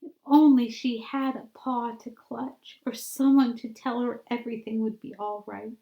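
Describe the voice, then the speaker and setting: A woman's voice: a little high, steady tone, measured pace, muffled recording. A woman voicing a small, lonely and anxious girl mouse in a storybook, musing wistfully. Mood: worried, forlorn.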